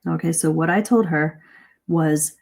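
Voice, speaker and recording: A woman's voice: low-pitched, unhurried, vocal fry, a little mellow. A middle-aged woman coaching English pronunciation in a live webinar, talking earnestly and conversationally, often correcting herself mid-sentence. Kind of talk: live-streamed webinar teaching.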